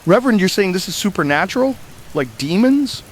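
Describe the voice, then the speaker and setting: A man's voice: high-pitched, sing-song, fairly quick, slight background noise. A man playing a weary, earnest survivor hosting a somber radio interview in an apocalypse drama. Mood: concerned questioning. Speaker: interviewer, broadcaster. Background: rain and thunder ambience.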